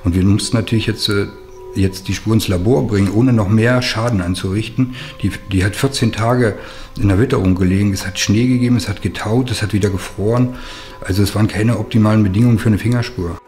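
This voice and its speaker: A man's voice: lowish voice, fairly even intonation, quick pace, a little mellow. A middle-aged man, a police forensic technician, explaining his work in a documentary interview indoors. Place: dim room with monitors.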